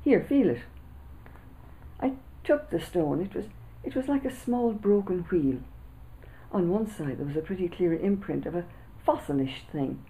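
A woman, an author reading her children's book aloud with lively storytelling, seated indoors before a camera. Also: fairly low pitch, normal speed, rough recording. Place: small indoor room before a backdrop.

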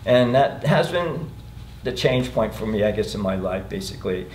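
A man's voice: on the low side, expressive, normal speed, a little mellow. A man in his sixties from California recalling his youth in a group interview, easygoing and chuckling often. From California, USA. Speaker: interviewee, taiko founder. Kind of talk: group interview, personal recollection. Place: museum meeting room, seated in a group. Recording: roomy room, faint noise.

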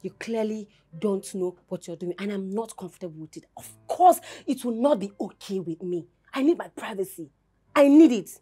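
Husky upset wife, played by a woman. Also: medium pitch, brisk, hardly any pauses, mellow, natural voice. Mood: upset, indignant. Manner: insistent, repeats herself. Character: upset, firm young wife and mother. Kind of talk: film drama acting.

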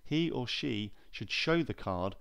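A man narrating a scripted training film in a measured, formal, clearly enunciated way. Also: mid-range voice, animated, fairly bright tone.

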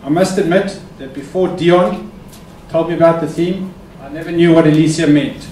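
A man with a fairly high voice welcomes the audience in a formal, polite way. He is heard from far off in an echoey hall, with faint background noise. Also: fairly even intonation, normal speed, darkish tone. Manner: formal, courteous. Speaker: host introducing the speaker. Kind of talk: welcome speech. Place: conference hall.

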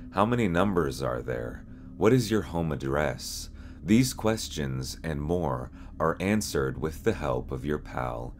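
A young man reading scripted narration as host, in a measured, serious, ominous storyteller manner. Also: extremely low pitch, slight background noise. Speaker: host and narrator of a horror explainer channel.

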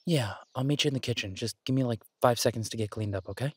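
Guy acting as a sweet laid-back boyfriend in an audio roleplay, mid pitch, chatty n reassuring, really dry close recording. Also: fast, slightly rough, dry recording, natural voice. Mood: concerned, soothing. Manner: conversational, hesitant, reassuring. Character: caring, easygoing human boyfriend of a werewolf woman. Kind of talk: intimate one-sided boyfriend audio roleplay.

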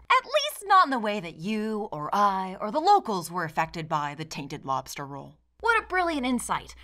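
A woman's voice: mid-range voice, bright, forceful tone. A young woman giving scripted, sarcastic commentary as a video essayist, with a theatrical, mock-indignant manner. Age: young adult.

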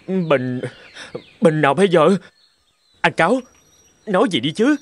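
A man voicing a naive, excitable monkey in a cartoon fable, exclaiming and easily puzzled. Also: fairly high pitch, a little slow. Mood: puzzled, anxious. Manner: stammering questions, exclaiming. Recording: loud music behind the voice.

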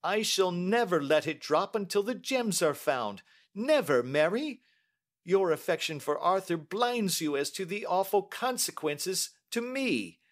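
A man's voice: high-pitched, big pitch swings, a little slow, pitched above the performer's own voice. A man voicing an impatient, indignant older banker in emphatic, formal Victorian speech for an audiobook. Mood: indignant, insistent. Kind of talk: audiobook character acting.

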